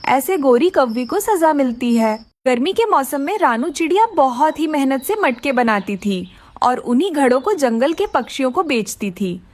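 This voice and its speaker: A woman's voice: high-pitched, sing-song, moderate pace, darkish tone, no room sound. A woman narrating a scripted children's story.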